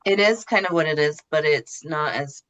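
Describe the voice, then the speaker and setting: A woman's voice: low voice, moderate pace, fairly bright tone, muffled recording. A woman explaining things as a podcast guest in casual, informal interview talk. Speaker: podcast guest explaining her know-how.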